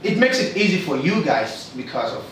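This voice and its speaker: A man's voice: on the high side, sing-song, moderate pace. A man from Ghana hosting a casual interview, asking questions with short, teasing remarks. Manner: asks questions, gives short backchannels, teasing. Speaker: interviewer, host. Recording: echoey room, distant mic, faint noise.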